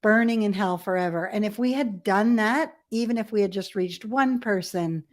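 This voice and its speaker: A middle-aged woman from Ethiopia, telling personal stories as an interview guest in a candid, animated way with self-deprecating humour. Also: medium pitch, normal speed. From grew up in Ethiopia as a missionary kid. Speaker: podcast guest. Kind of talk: conversational personal storytelling.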